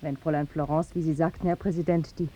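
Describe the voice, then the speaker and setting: An actress playing a deferential, reassuring café waitress in a radio drama. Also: female voice, low-pitched, level tone, fast, mellow, no echo. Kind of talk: 1950s German radio drama acting.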